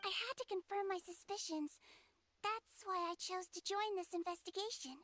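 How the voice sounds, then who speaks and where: Very high-voiced gentle creature. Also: female voice, moderate pace. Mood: calm, knowing. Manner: calm, reasoned, polite. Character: small, gentle, perceptive nonhuman female nurse creature.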